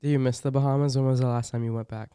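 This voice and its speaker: A young man, a first-year student, hosting a casual interview in a friendly, relaxed way with some ums. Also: mid-range voice, very fast, clean tone, no room sound, studio quality. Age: young adult.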